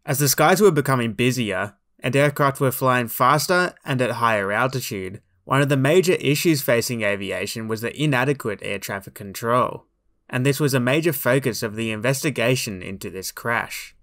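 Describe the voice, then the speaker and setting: A man reading scripted documentary narration in a measured, formal, explanatory way. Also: mid-range voice, fairly bright tone, no echo, studio quality.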